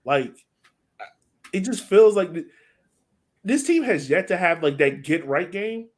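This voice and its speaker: A man's voice: sits high, big pitch swings, measured pace. Casual male soccer podcast co-host. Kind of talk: casual sports analysis chat.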